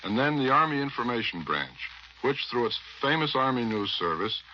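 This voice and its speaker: A man's voice: mid-range voice, sing-song, moderate pace, bright, forceful tone. An American man reporting and interviewing on 1940s radio, reading his script in a wry, emphatic way. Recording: muffled 1940s radio, faint noise.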